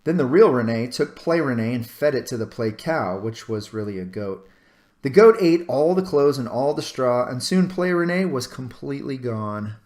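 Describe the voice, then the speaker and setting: A man narrating a children's storybook aloud with dramatic pauses and stretched-out phrases. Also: medium pitch, animated, a little mellow. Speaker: storybook reader.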